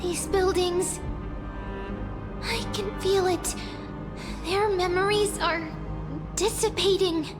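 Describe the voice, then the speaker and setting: Tiny high girl seer from a game, gentle and slow, faint music. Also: steady tone. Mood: wistful. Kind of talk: dramatic video game voice acting.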